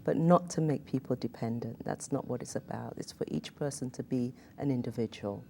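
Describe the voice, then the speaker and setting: Low-voiced English woman hosting. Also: brisk, creaky, a little hiss or hum. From England. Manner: warmly affirming, conversational. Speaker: host. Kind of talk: casual interview conversation.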